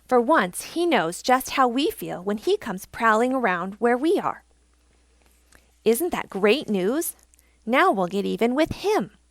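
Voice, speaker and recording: An excitable little storybook otter, male and proud of himself, acted out by a woman reader. The voice is fairly high with a touch of creak, emphatic and teasing, recorded dry. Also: unhurried, no echo. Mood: defiant, triumphant. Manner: provoking, emphatic.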